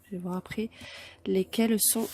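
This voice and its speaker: Hoarse soft-spoken woman with a cold. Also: mid-range voice, steady tone, normal speed. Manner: soft-spoken, often near a whisper, hesitant. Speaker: craft tutorial host. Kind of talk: calm step-by-step craft tutorial.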